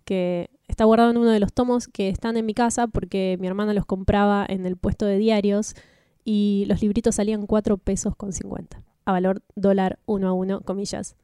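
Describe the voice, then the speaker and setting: A woman with an Argentine Spanish accent, co-hosting a casual podcast and explaining things in a relaxed way. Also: mid-range voice, fairly quick, clear, resonant tone, dry recording, studio quality. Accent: Rioplatense Spanish. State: slight cough. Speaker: podcast co-host.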